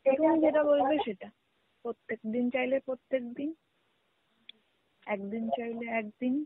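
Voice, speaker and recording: A woman's voice: high voice, moderate pace, dry recording. A woman with a Bengali accent chatting casually and intimately on a phone call, answering agreeably and briefly. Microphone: phone line. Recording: tinny narrowband phone call recording.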